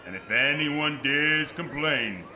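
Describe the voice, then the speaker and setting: An actor playing an irritable, tough carnival barker with gruff, slangy hardboiled talk in an old-time radio play. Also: male voice, a little high, expressive, takes it slowly. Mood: irritable, bitter. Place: live theatre stage before an audience. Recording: live stage recording with clear noise.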